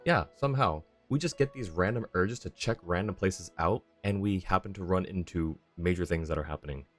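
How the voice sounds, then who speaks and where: Man, deep voice, gaming streamer, casual chat, friendly, apologetic, faint background music. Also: fairly quick, a bit bright. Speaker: livestream host, gamer. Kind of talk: casual game stream chat, reading chat and game dialogue aloud.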